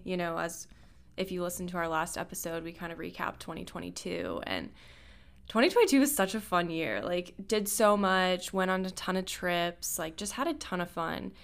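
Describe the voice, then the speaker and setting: A young woman from Nebraska talks casually as a podcast co-host. She is chatty, fills her talk with "like," and laughs often. Her voice sits a little low. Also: vocal fry, clear, resonant tone. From Nebraska, USA. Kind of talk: casual chat.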